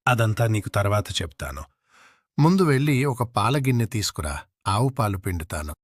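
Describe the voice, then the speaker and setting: A man's voice: on the low side, very animated, normal speed, no room sound, clean studio sound. A man playing a poor, crafty village woodcutter and husband in a cartoon moral tale, earnest and plain-spoken. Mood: brisk.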